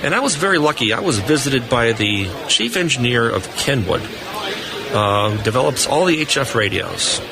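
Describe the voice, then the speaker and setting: A man's voice: medium pitch, sing-song, normal speed, gravelly, a crowd in the background. A man giving unscripted, explanatory answers as a technical guest in a live interview. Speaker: radio equipment test engineer, interview guest. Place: booth on a convention hall floor. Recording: noisy busy convention floor background.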